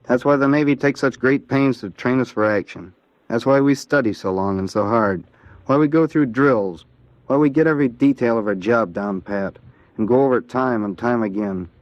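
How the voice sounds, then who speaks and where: A man with an American accent answering in a scripted 1940s radio interview as a Navy seaman, in a plain, earnest, measured way. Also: medium pitch, no echo, close to an everyday voice. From Ohio, United States. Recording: dull vintage 1940s radio recording.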